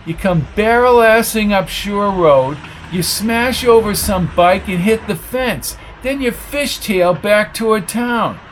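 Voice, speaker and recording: A man's voice: high-pitched, takes it slowly, few pauses, a little hiss or hum, voice pushed up. A man voicing a hot-tempered, bullying tough guy in an audiobook, blunt, slangy and snapping. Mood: aggressive.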